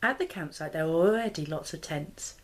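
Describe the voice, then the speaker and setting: A woman's voice: low voice, animated, fairly quick. A woman in her thirties reading a children's storybook aloud expressively. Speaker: mother and parenting vlogger. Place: small living room, indoors.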